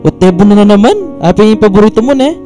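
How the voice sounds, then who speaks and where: A man's voice: high-pitched, big pitch swings, quick pace, mellow. A man playing a teasing, grumbling middle-aged father with a Kapampangan accent in a radio drama. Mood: mock grumbling. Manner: teasing, mock-complaining. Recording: clear guitar music underneath.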